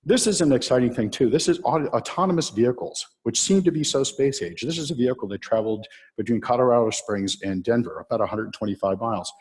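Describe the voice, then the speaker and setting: A man's voice: medium pitch, quick pace, slightly rough. A man giving a public talk on automation, walking an audience through video clips with frequent fillers. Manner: steady explaining, with frequent uh fillers. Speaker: presenter showing video clips. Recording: reverberant hall.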